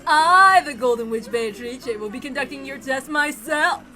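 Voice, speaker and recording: High, gleeful, taunting young witch. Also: sharp tone, soft background music. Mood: haughty, coy. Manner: theatrical, drawn-out words, cackling laughter.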